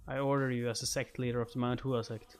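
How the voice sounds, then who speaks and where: A man's voice: mid-range voice, little pitch movement, clear, resonant tone, soft background music, close to an everyday voice. Solemn older sect leader, read out by a man. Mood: stern, commanding. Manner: formal, measured, commanding. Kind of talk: comic read-aloud.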